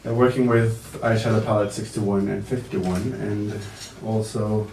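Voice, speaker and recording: A man working as a makeup artist, giving a live demonstration to the press at an indoor event, talking slowly and hesitantly with many fillers and the odd joke. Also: on the low side, little pitch movement. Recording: echoey room, mic far off, faint noise.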